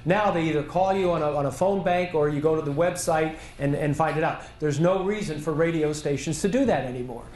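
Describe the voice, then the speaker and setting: A man presenting at a conference, answering audience questions in a measured way, thinking aloud with restarts. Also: on the high side, a little mellow, reverberant. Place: conference hall.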